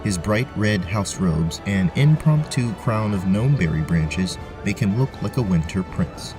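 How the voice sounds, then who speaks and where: A man's voice: low-pitched, lively intonation, unhurried, music in the background. A man narrating a scripted fantasy story as the game master of an audio drama.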